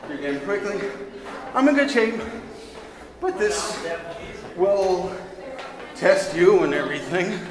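A man's voice: sits high, animated, slow, long pauses, crowd noise. A man chatting casually and good-humoredly as he narrates a sightseeing climb to his handheld camera, speaking while exerting himself. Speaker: amateur vlogger filming a sightseeing climb. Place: inside a narrow brick lighthouse stairwell. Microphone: handheld camera held by the speaker. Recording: echoey stairwell, long reverb, clear noise.

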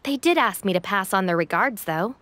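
A woman's voice: mid-range voice, animated, brisk, pushed and bright. A woman voicing a sensible, level-headed young gang deputy in a video game. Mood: matter-of-fact. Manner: level-headed, matter-of-fact.

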